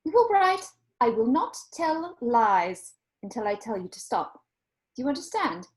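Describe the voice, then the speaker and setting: A woman's voice: on the high side, sing-song, measured pace. A woman playing a prim, mock-polite, sweetly menacing middle-aged teacher in an amateur script read. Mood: prim command.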